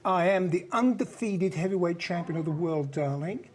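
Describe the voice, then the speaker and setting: A man with a husky voice, a boxer speaking in a television clip. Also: high-pitched, lively intonation, normal speed.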